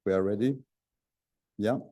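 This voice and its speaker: A man, a government official, giving relaxed opening remarks with a few halting pauses. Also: fairly low pitch. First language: Albanian. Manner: relaxed, joking, halting with fillers.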